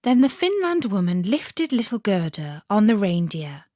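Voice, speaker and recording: A woman reading a fairy tale as a storyteller, with measured, expressive storybook narration. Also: medium pitch.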